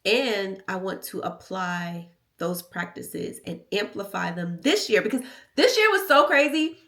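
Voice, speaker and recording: An American woman chatting casually and candidly to her vlog audience, playful and emphatic, with a slightly raspy, nasal voice. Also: mid-range voice, animated, measured pace, fairly bright tone. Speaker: vlogger. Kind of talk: casual confessional vlog chat.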